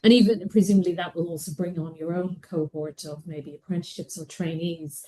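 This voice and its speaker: A woman's voice: lowish voice, fairly even intonation, fairly quick, mellow, rough recording. A woman hosting a webinar over a video call, friendly as she prompts her guests with questions. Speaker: webinar host.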